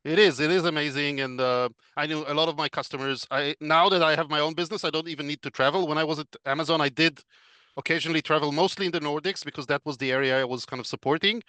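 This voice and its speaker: A man, a guest on a podcast interview over a video call, explaining in a measured way with frequent fillers. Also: fairly high pitch, pushed and bright, clean tone, no room sound. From Israel. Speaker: podcast guest, business consultant. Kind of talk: casual interview chat.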